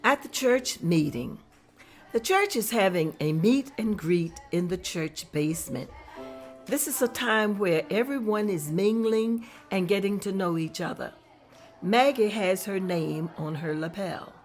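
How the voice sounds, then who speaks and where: A woman narrating the scene directions at a table read in a plain, steady manner. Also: mid-range voice, measured pace, soft background music. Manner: plain, steady descriptive reading. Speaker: narrator reading stage directions.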